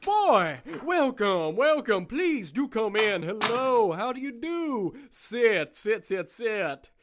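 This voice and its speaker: A man's voice: sits high, big pitch swings, slight background noise, full character voice. An actor playing a manic, gleefully morbid male warden who talks in a giddy, sarcastic rush. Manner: giddy, rapid-fire and sarcastic.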